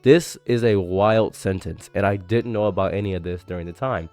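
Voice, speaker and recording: A man's voice: deep voice, normal speed, darkish tone, no echo, soft background music. A young man from Egypt who moved to America as a child, giving casual, emphatic political commentary as a streamer, sometimes reading aloud and repeating phrases for emphasis. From Egypt, moved to America at age eight. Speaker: streamer and commentary video creator.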